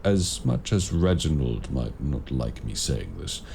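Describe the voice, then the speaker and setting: A man playing a courteous, grave warlock mentor in raven form, speaking formally and deliberately in an audio roleplay. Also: very deep voice, very animated, vocal fry, dark tone, a little hiss or hum, full character voice. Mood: quietly pleased. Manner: formal, measured, deliberate phrasing. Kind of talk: audio roleplay addressed to the listener.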